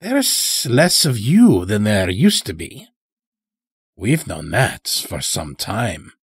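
A man's voice: lowish voice, very animated, slow pace, creaky, breathy edge, dry recording, studio quality, heavily acted. A man voicing a sly, weary old stranger in an audio story, with a folksy, rambling way of talking. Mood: ominous, knowing. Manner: folksy, rambling, dropped words.

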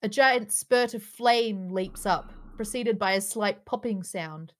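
A woman's voice: medium pitch, slow pace. A woman reading stage directions aloud as narrator in a live script table read over a video call.

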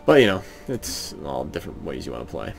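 A man with a raspy voice casually narrating a strategy game at home, thinking aloud hesitantly with many ums. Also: very deep voice, very animated, brisk, soft background music. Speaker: let's play gamer. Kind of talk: casual gameplay commentary. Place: home room.

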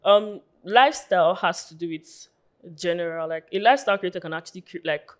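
A woman in her twenties from Lagos with a Nigerian accent, a guest influencer chatting casually in a small studio on a boom mic, full of "like" fillers. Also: brisk. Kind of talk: casual interview chat. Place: small pink-walled studio. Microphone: studio mic on boom arm.

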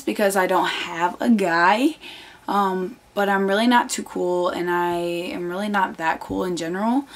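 Teen girl vlogger, hoarse tired voice, earnest chatty delivery, bedroom webcam feel. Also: medium pitch. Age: teens. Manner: chatty, earnest, many fillers. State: losing her voice. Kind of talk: casual chat to camera. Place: bedroom, indoors. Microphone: camera on desk, close framing.

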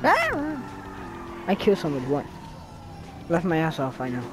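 A man giving excited, panicky commentary while playing a driving game, repeating words rapidly when things go wrong. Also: a little high, rough recording. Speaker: gameplay commentator.

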